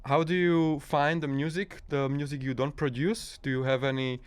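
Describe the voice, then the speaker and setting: A man's voice: fairly high pitch, steady tone, normal speed, clear, resonant tone. A man who speaks English as a second language, hosting a casual, chatty radio interview. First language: not English.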